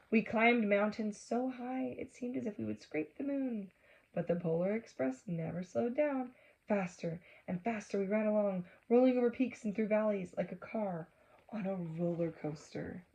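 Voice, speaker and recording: Creaky, mellow woman reading a storybook. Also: medium pitch, lively intonation, moderate pace, low-quality recording. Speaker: children's storybook reader. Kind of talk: read-aloud storytelling with asides to children.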